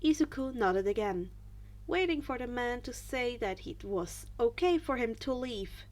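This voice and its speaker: A woman with a fairly high voice reads a story aloud. She sounds like an amateur audiobook narrator working from a script, now and then stumbling and picking a phrase up again. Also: expressive, measured pace, darkish tone. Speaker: fan fiction audiobook narrator.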